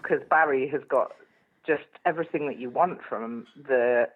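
A woman's voice: a bass voice, moderate pace, slightly rough, phone-quality sound. A woman guest on a casual phone chat, bubbly and playful, often breaking into laughter.

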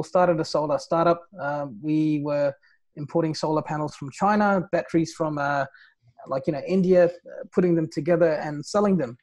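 A man's voice: fairly high pitch, a little mellow. A man from Nepal in his thirties, a podcast guest telling his own story in casual talk, leaning on "right?" and "like, you know".

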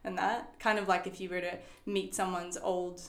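A woman's voice: fairly low pitch, level tone, moderate pace. Chatty American-accented young woman, roomy. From Sweden and the United States. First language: Swedish. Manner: chatty, rambling, many fillers and restarts. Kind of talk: casual chat.

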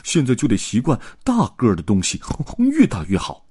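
A man voicing a plucky, ambitious little spotted pig in a children's story, with a husky voice and an animated, dreamy manner. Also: fairly low pitch, moderate pace. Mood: determined.

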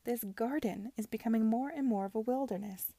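Lady reading as a moody scornful young gentlewoman, cutting and bossy, mellow husky voice, audiobook. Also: a little high, fairly quick, dry recording. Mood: gloomy disdain. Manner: cutting, commanding, disdainful. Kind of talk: audiobook character dialogue.

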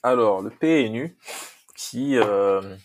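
A man's voice: fairly low pitch, animated, takes it slowly. A man from Congo, a football coach and podcast guest, talking earnestly with many restarts and fillers, Lingala his first language. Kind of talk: casual podcast interview.

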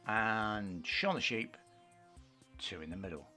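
A man's voice: lowish voice, slow, sharp tone, soft background music. A middle-aged British man co-hosting a casual radio show, rambling and bantering as he chats about what he likes. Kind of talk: casual chat about films and books. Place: home studio in a book-lined study.

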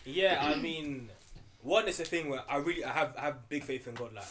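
A man's voice: fairly high pitch, fairly quick, a bit bright, slight background noise. A young man talking earnestly in a casual podcast discussion, often repeating his words as he goes. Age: young adult. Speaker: podcast panelist.

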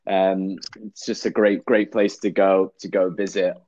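A man's voice: sits low, no room sound. Young man with a dry, muffled voice. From England. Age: young adult. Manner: relaxed, halting, repeats words, dry jokes. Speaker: guest actor. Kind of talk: casual interview chat.

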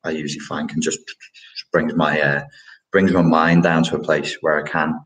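A man's voice: very deep voice, level tone, normal speed, fairly bright tone, low-quality recording. A man hosting a casual live question-and-answer stream, talking in a rambling, reflective way with many fillers and restarts. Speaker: livestream host answering chat questions.

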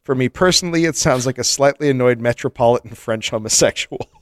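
A man's voice: medium pitch, fast, no echo, studio quality. A man co-hosting a comedy podcast, reading listener mail aloud in a casual, joking way and laughing often. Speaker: podcast co-host.

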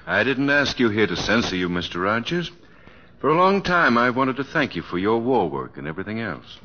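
A man acting as a gracious, earnest, professorial American president with formal, measured speech in an old radio drama. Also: mid-range voice, sing-song. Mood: gracious, amused. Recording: dull noisy vintage radio recording.

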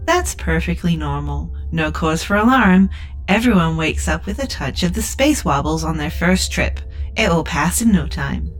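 Shipboard AI, female, lowish voice, relentlessly upbeat, polite corporate script, sci-fi audio drama, faint music. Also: expressive, normal speed, poor audio. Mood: soothing, cheerful. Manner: chirpy, scripted, over-polite corporate patter. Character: relentlessly upbeat customer-service AI of a budget spaceliner. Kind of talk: science fiction audio drama acting.

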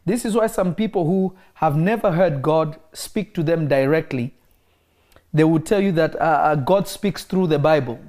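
A man who grew up in Kenya, preaching in a relaxed interview and driving his points home by repeating key phrases. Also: on the high side, moderate pace. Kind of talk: conversational preaching.

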